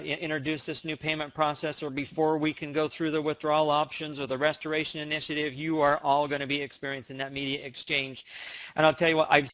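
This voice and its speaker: An American man presenting on a conference call, giving an enthusiastic, emphatic motivational sales pitch. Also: fairly bright tone, no room sound, rough recording. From United States.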